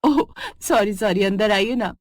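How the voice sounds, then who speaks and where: A woman's voice: mid-range voice, unhurried, dry recording, clean studio sound, lightly acted. A woman voicing a polite but frazzled young daughter-in-law who hosts pushy relatives. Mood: flustered. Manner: polite, flustered.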